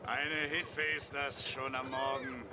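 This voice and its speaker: An actor playing a chatty, coarse provincial farmer in a radio play, talking in a folksy, blunt, rambling way. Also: male voice, medium pitch, flat delivery, slow pace, close to an everyday voice. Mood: jovial, chatty. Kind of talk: German radio play acting. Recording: clear vehicle noise behind.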